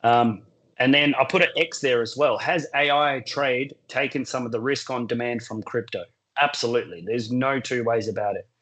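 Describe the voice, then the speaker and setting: A man, hoarse from a sore throat, talks through a solo market update. His voice sits at an ordinary middle pitch. He lays out his points one by one in a measured, explanatory way. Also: a bit bright. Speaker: crypto market commentator.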